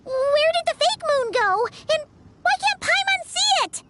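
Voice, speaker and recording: Brief: an excitable childlike creature sidekick; very high and bouncy, over game music. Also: female voice, a little slow, heavy background noise, exaggerated voice. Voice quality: squeaky, childlike. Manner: excitable, speaks of herself in third person. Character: tiny chirpy female floating companion creature. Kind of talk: video game voice acting. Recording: game audio with music underneath.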